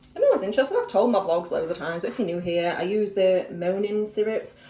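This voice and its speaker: A woman's voice: medium pitch, sing-song, brisk, mellow, distant mic. A young woman with a Yorkshire accent, chatting casually to camera in her kitchen, rambling with lots of fillers. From Yorkshire, England. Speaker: vlogger. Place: home kitchen, indoors. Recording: echoey room with background noise.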